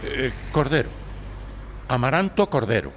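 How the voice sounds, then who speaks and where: A man's voice: medium pitch, sing-song, unhurried, a bit bright, a little hiss or hum. An actor playing a timid, anguished young man in a live audio drama, speaking with hesitant, sighing delivery. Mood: meek, troubled. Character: timid, anguished young male client telling his misfortune.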